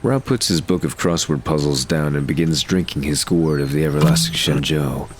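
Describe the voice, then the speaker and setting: A man with an extremely deep voice narrates an audio drama from a script. Noise can be heard behind him. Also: moderate pace.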